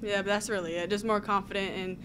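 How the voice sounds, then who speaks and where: Flat-voiced young woman athlete. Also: mid-range voice, quick pace. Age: young adult. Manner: brief, hesitant answers with "um". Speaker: college basketball player. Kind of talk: press conference answers. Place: press conference room. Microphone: table mic. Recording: noisy room ambience.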